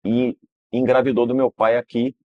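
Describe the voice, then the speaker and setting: A man's voice: mid-range voice, little pitch movement, normal speed, no room sound, phone-quality sound. A Brazilian man, a guest in an interview telling long family stories, rambling and restarting with frequent tag questions. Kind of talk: relaxed storytelling interview.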